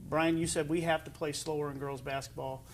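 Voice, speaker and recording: A man's voice: on the high side, little pitch movement, brisk, slight background noise. A man with an American accent hosting a casual sports interview as the show's interviewer. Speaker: interview show host.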